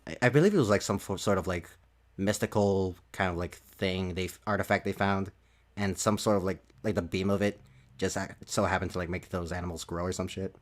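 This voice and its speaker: A man with a fairly low voice hosts a casual podcast conversation. He talks haltingly, circling back and repeating words as he finds his way through a sentence. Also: fairly even intonation. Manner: hesitant, halting, repeats himself. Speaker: podcast host.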